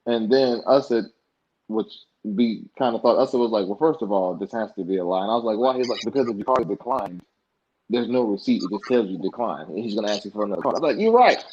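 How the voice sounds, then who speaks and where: A young man with a low, husky voice tells stories in a relaxed, colloquial way, jumping into the voices of the people he quotes. The audio sounds dull and lo-fi, like a home podcast setup. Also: fast. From United States. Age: young adult. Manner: animated, acts out past dialogue in colloquial speech. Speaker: podcast guest. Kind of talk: casual interview storytelling.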